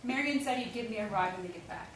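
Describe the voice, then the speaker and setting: A woman's voice: a little high, quick pace, close to an everyday voice. A woman playing a hesitant, naive young employee in a staged play reading. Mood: uneasy. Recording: very echoey room, distant mic, faint noise.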